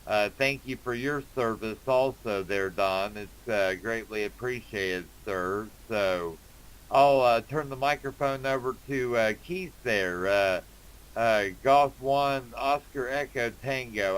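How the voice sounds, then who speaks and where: A man chatting casually as an amateur radio operator, speaking in a halting way with many pauses. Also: lowish voice, a bit bright, clear, resonant tone, rough recording. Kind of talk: casual ham radio conversation.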